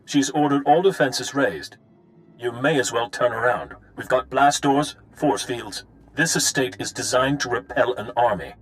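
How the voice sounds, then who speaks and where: An actor playing a stern, blustering estate guard commander in a video game, barking officiously. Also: male voice, medium pitch, measured pace, natural voice, rough recording. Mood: stern warning.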